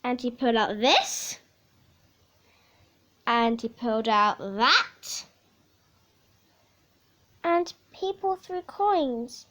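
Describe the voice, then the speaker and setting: High-pitched woman reading a bedtime story. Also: bright, forceful tone. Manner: theatrical, measured storytelling with dramatic emphasis. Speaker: story reader. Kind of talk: expressive bedtime picture-book reading.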